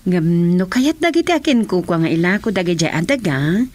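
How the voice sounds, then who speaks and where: A woman confidante played by an actress in a radio drama. Her voice is a little low for a woman and moves with lively, expressive intonation. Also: normal speed, a little hiss or hum, lightly acted. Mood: conversational. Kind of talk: Ilocano radio drama acting.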